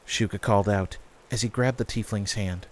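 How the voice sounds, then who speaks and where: A man's voice: fairly low pitch, steady tone, moderate pace, no room sound. A man working as narrator, reading a scripted fantasy story. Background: background music.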